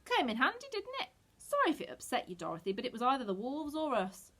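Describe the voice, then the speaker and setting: A woman's voice: sits high, wildly swooping pitch, fast talker, a bit bright, pitched above the performer's own voice, exaggerated voice. A woman doing a brave tin woodman. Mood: weary. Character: brave tin woodman with an axe, matter-of-fact.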